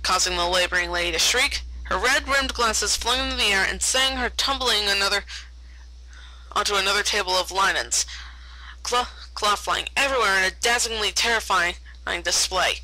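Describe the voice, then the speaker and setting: A woman's voice: medium pitch, moderate pace, pushed and bright, no echo, poor audio. A woman narrating fan fiction aloud expressively, often stumbling over and repeating words.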